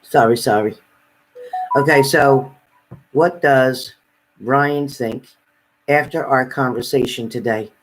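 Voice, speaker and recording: A man's voice: mid-range voice, takes it slowly, pauses often, slight background noise, poor audio. A man hosting a casual live question-and-answer stream, giving spirit readings and chatting with viewers.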